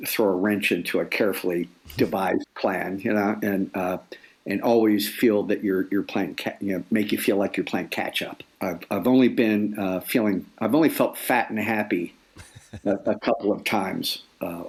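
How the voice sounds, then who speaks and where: A man in his sixties talking as an interview guest, halting often and repeating words as he thinks aloud. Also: on the low side. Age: 60s. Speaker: guest author. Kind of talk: reflective interview conversation.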